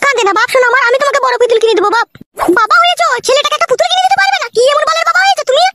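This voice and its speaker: A very high, shrill cartoon mother, played by a woman nags and scolds at a breakneck pace. She is sharp-tongued and fussy over her son. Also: rapid-fire. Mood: scolding. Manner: scolding, nagging.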